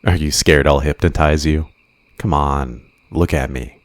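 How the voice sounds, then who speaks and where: A man playing an obsessive, flirtatious supervillain in an ASMR roleplay, drawing out teasing, softly menacing endearments in a possessive way. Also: very deep voice, fairly even intonation, measured pace, no echo, clean studio sound. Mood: coaxing persuasion.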